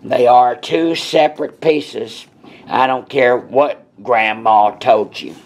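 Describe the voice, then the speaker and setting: A man who sells car parts, talking bluntly in a casual way to the camera from an indoor parts workshop. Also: medium pitch. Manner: blunt, plain-spoken, deliberate. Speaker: parts seller explaining brakes. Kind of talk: casual shop talk to camera.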